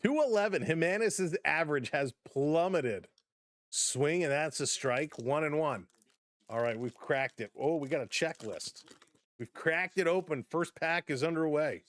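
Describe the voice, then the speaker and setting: A man hosting a casual live-stream baseball play-by-play, chatty and upbeat as he greets viewers, his voice slightly hoarse from overuse. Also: fairly high pitch, measured pace, a bit bright. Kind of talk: casual play-by-play with chat reactions.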